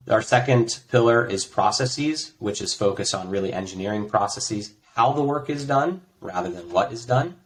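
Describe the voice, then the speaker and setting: An American man, a guest in a conversational interview, explaining his work in a relaxed way with frequent fillers. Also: fairly low pitch, a little hiss or hum. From United States. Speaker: interview guest, tech executive.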